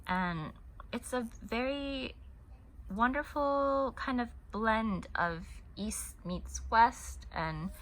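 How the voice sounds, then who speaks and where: A woman's voice: a little high, expressive, very slow, a bit bright, poor audio. A woman in her thirties who grew up in the United States and spoke Korean first, chatting casually at home while she crochets, rambling and often restarting her sentences. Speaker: crochet channel host. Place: home in Hong Kong.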